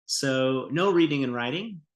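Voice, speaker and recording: A man's voice: mid-range voice, expressive, measured pace, reverberant. A man asking curious questions as a prospective volunteer in a scripted interview.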